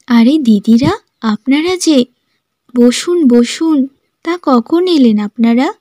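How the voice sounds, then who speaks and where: A woman voicing a gentle, earnest young daughter-in-law in a family drama cartoon. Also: sits high, lively intonation, slow, no room sound. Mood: polite. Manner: polite, sincere.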